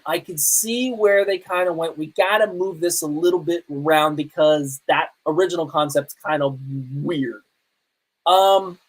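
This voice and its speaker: A woman's voice: low voice, slightly rough, low-quality recording. A woman hosting a live podcast with casual, emphatic, opinionated commentary in a conversational way. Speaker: podcast host.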